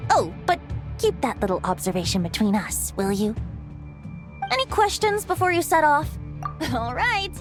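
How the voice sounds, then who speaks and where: Lyrical, high-voiced storyteller. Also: female voice, big pitch swings. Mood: playful. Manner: lyrical, measured, gently teasing. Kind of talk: scripted video game storytelling. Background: soft music.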